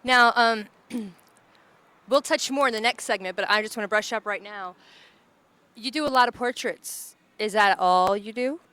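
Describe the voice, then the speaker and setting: Bright-voiced chatty woman host. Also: a little high, normal speed, dry recording. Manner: chatty, enthusiastic, says "like" often. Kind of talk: casual interview. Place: bar and grill.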